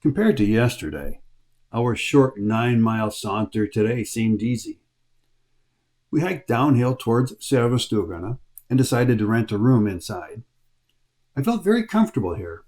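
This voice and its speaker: A man's voice: mid-range voice, mellow, no echo. A middle-aged man with an American accent narrating his long-distance hike in a wry, understated storytelling voice. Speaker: hiking vlogger. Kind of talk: scripted travel narration.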